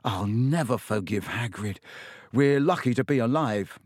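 A man's voice: medium pitch, animated, measured pace, a little mellow, dry recording, studio quality. A man voicing a shaken boy in a storybook, the hero's friend, grumbling with indignation. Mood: weak, bitter.

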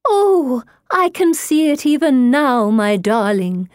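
A woman's voice: sing-song, smooth, dry recording, clean studio sound, heavily acted. A woman voicing a channelled spirit mother in a storybook, sweet, gushing and coddling. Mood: gushing, tender. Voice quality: sweet, high. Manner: coddling, cooing, drawn-out. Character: sugary, gushing ghost mother channelled by a medium.

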